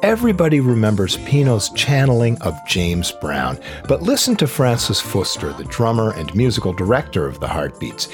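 A low-pitched man narrates a radio documentary from a script. His delivery is smooth and lively, rising and falling a great deal. Music plays clearly underneath his voice. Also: moderate pace, few pauses. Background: music playing underneath.

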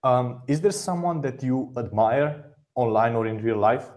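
A man hosting an interview, giving direct, encouraging advice in quick bursts, often repeating words as he talks. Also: medium pitch, lively intonation, darkish tone. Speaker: podcast host.

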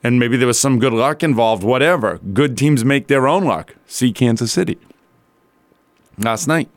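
A male sports radio host with a medium-pitched voice that sounds a little rough and worn. He talks in a loose, colloquial monologue full of conversational asides. The sound is close and dry, as in a radio studio. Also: moderate pace, no echo. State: slightly rough, strained voice from yelling.

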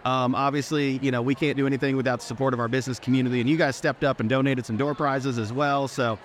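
A man hosting a podcast at a live event, upbeat and quick with restarts. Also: medium pitch, steady tone. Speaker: podcast host. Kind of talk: casual live interview. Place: outdoor event fair.

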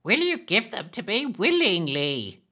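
A man's voice: sits high, wildly swooping pitch, a little slow, sharp tone, pitched above the performer's own voice, heavily acted. A man voicing a scruffy, greedy older woman who hisses her words, in a storybook reading. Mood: hissing. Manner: hissing, conspiratorial.

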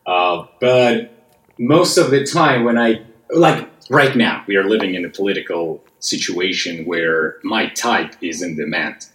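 A man's voice: low voice, unhurried, a bit bright, roomy sound. A man with a Russian accent, speaking English as a second language, chatting casually as a guest actor in an interview, upbeat and sometimes searching for words. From West Siberia, Russia.

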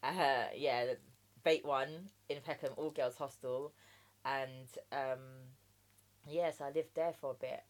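A woman in her thirties with a London accent talks through her experience as a guest on a podcast. Her voice sits low and deep. She is chatty and frank, and she keeps checking in with "do you know what I mean". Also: fairly even intonation, unhurried. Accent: London English. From Jamaica. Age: 30s. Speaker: guest entrepreneur. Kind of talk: casual podcast interview.

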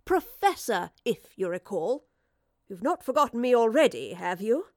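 A woman's voice: fairly high pitch, big pitch swings, normal speed, no room sound, clean studio sound. A woman voicing a bold, witty female professor and adventurer with an arch, genteel, commanding manner in an audio drama. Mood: wary, arch.

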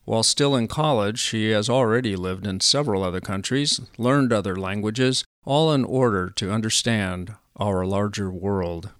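An older man, the host of a podcast, narrating in a measured, earnest scripted way. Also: lowish voice, fairly bright tone, no room sound.

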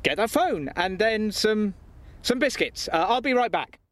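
Man acting nervous bumbling father, stammering, evasive, wildly varied intonation, comedy audio play, clear background noise. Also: high-pitched, animated, measured pace. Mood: bluffing. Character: panicky, bumbling divorced father desperate to keep his child.

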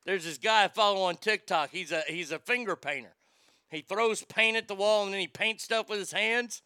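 A man's voice: high voice, moderate pace, sharp tone, clean tone, no echo, clean studio sound. A man in his fifties hosting a morning radio show with loose, crude, joking banter. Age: 50s.

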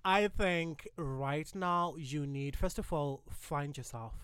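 A man's voice: a little high, slow pace, fairly bright tone, smooth, dry recording. A man in his twenties chatting casually in a group, informal and opinionated, using plenty of slang.

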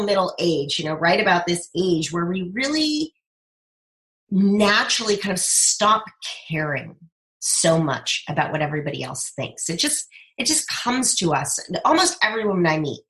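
A woman's voice: medium pitch, fairly bright tone, rough recording. A woman in her fifties, a speaker and brand coach, talking in an emphatic, persuasive way as an interview guest. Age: 50s. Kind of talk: podcast interview conversation.

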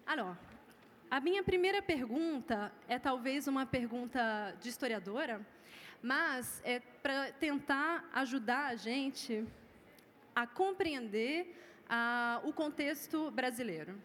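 High-voiced woman moderating in a reverberant hall. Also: lively intonation, fairly bright tone. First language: Portuguese. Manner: measured, reads prepared remarks, switches to Portuguese. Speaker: moderator of a public debate. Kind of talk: formal event moderation. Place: lecture hall with audience. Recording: reverberant hall, faint room noise.

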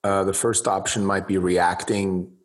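A man's voice: low voice, flat delivery, a little slow. A male interviewer giving short conversational answers, sometimes with a light joke.

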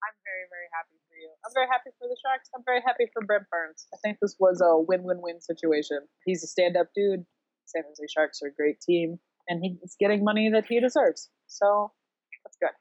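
A woman's voice: on the low side, a little fast, a little mellow. A woman co-hosting a sports podcast, chatting casually with wry, flippant asides. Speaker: podcast co-host. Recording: dull, roomy, lo-fi recording.

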